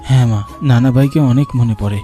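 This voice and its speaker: A man's voice: mid-range voice, fairly even intonation, quick pace, mellow, faint music behind, close to an everyday voice. A man playing an earnest young man who narrates a cartoon ghost story in the first person. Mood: dutiful. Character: earnest young man narrating his eerie village journey.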